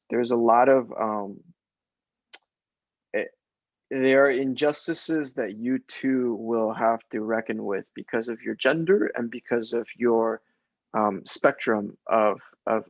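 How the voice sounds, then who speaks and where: A man's voice: mid-range voice, no room sound, rough recording. A man whose first language is Spanish, giving reflective advice in a panel conversation and often checking in with "right?". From Mexican immigrant family. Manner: often ends points with "right?". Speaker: theater professional, guest panelist.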